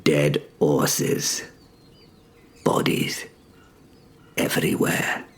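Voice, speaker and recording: A man giving measured, dramatic first-person narration of a story in short phrases. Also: rumbling low, gravelly.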